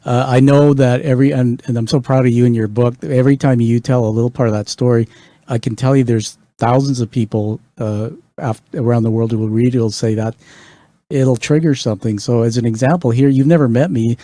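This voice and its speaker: A man's voice: medium pitch, fairly even intonation, brisk, mellow, no room sound. A man from England hosting an interview, talking enthusiastically and rambling a little as he praises his guest's book. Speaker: podcast host. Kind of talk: conversational interview.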